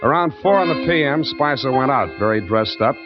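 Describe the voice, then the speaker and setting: An American actor playing a wry, streetwise private detective in an old-time radio drama, speaking in laconic, slangy lines. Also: male voice, mid-range voice, expressive, fairly quick, lightly acted. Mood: brooding narration. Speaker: lead actor and first-person narrator. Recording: dull vintage radio recording with music.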